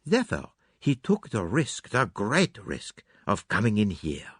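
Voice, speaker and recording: A man voicing an excitable, theatrical foreign detective, emphatic and dramatic, in an audiobook. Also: on the low side, unhurried, husky, dry recording, clean studio sound, heavily acted. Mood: intent. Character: excitable, theatrical foreign male detective, fastidious and self-reproaching.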